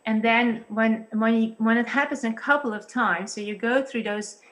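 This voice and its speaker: A woman in her thirties with a Dutch accent, a pastor and psychologist explaining things carefully and haltingly over a video call. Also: a little high, fairly even intonation, a bit bright. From Netherlands. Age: 30s.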